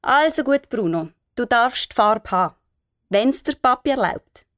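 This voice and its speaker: Woman playing a high-voiced, kindly Swiss aunt. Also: expressive, measured pace, background noise. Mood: kindly, homely. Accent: Swiss German. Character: kindly, homely aunt looking after her nephew. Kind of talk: children's audio story acting.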